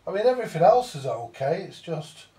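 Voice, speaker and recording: A man's voice: fairly high pitch, sing-song, a little fast, dark tone. A British man giving a casual, hands-on repair commentary, muttering to himself and swearing now and then as he works. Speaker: vintage audio repairer. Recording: roomy echo, distant mic.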